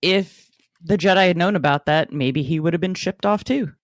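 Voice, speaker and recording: A man's voice: on the high side, moderate pace, no room sound, clean studio sound. A man co-hosting a podcast, talking casually through a book discussion. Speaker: podcast co-host.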